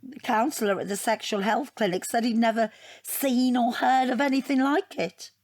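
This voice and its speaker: A woman's voice: a little high, fairly even intonation, moderate pace, a little mellow, dry recording. Art student lady in a comedy audio play, rambly, defensive, blunt, very dry close recording. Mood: matter-of-fact. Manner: rambling, matter-of-fact, self-justifying. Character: opinionated, defensive female adult-education art student, flippantly frank. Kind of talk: comic audio drama acting.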